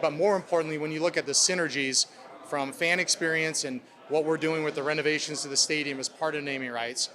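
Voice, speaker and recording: A man speaking as a club president, answering reporters' questions in upbeat corporate language. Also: a little high. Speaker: sports club president at a press conference.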